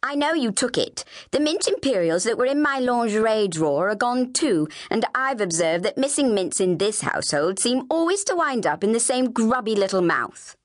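A vain, cruel teenage girl from an audiobook, played by a woman. She taunts and accuses with disdain, her pitch sweeping up and down. The recording is very dry. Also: big pitch swings, moderate pace, hardly any pauses, dry recording. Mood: accusing. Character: haughty, accusing teenage English older sister, cruel and vain. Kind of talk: audiobook character dialogue.

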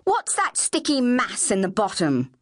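A vain, cruel teenage girl from an audiobook, played by a woman. She taunts and accuses with disdain, her pitch sweeping up and down. The recording is very dry. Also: huge pitch swings, measured pace, dry recording, exaggerated voice. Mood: shocked. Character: haughty, accusing teenage English older sister, cruel and vain. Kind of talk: audiobook character dialogue.

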